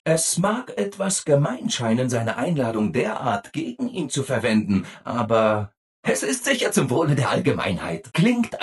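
An obliging video game robot with boundless, guileless cheer. His voice sits at a middling male pitch and is very husky and rough. The delivery is overeager and singsong, swinging widely up and down as he offers his help. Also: exaggerated voice. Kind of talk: scripted video game dialogue.

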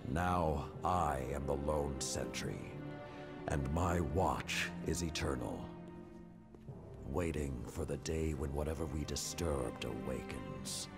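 An actor playing a solemn, weary last warrior lord in a video game, speaking slowly and gravely in dramatic narration. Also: male voice, exaggerated voice. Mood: mournful, solemn. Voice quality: gravelly, very deep. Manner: solemn, slow, weighty delivery. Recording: music under the voice. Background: cinematic music.

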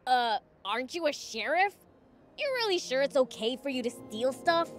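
A woman's voice: high voice, very animated, normal speed, faint music behind. A woman voicing a cocky, eager young demon prince in a video game, with a brash, boyish manner. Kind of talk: video game cutscene acting.

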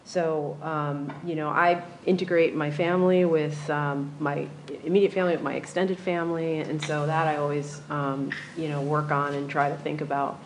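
A woman panelist speaking in a measured, thoughtful, soft-spoken way in a lecture room. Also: sits low, little pitch movement, slight background noise, low-quality recording. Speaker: panelist, dean. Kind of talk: reflective panel remarks.

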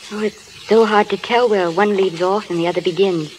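An actress playing a devoted young film-star wife, earnest and pleading, in a 1930s radio drama. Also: female voice, mid-range voice, fairly even intonation, brisk, a little mellow, natural voice, rough recording. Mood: tender sorrow. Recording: old hissy radio broadcast.

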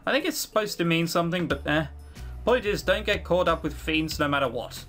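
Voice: mid-range voice, expressive, brisk, a bit bright, soft background music, natural voice. A game commentator voicing an offhand poor boy who tells a folk tale in blunt, slangy words. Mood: offhand storytelling.

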